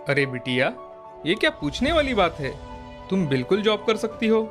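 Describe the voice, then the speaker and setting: A man's voice: high-pitched, big pitch swings, normal speed, music in the background. A man playing a kind, hardworking poor village father, affectionate and encouraging, in a cartoon moral story. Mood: proud, affectionate.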